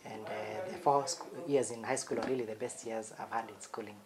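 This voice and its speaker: A Kenyan man in his fifties, a cardiologist telling his life story in a relaxed, reflective interview. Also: on the low side, brisk, crowd noise. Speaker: cardiologist guest. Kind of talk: conversational interview.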